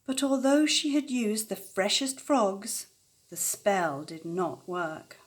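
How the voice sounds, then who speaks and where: An older woman telling a children's story aloud with expressive narration. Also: mid-range voice, slow. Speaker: storyteller, grandmother reading aloud.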